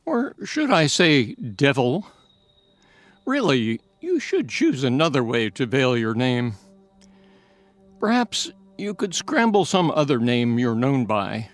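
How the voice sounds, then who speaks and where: A man as an animated, slow-paced elderly scholar, mocking. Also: mid-range voice, soft background music. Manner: eloquent, theatrical, mocking. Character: elderly learned scholar, fearless, wry and taunting. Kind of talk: dramatized audiobook acting.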